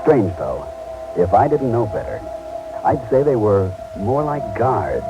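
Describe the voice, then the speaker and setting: An actor playing an earnest military major and space pilot in an old radio drama, speaking in halting, broken phrases. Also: male voice, fairly low pitch, very animated, dull audio, over music. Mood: grim narration. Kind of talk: 1940s-50s radio drama acting.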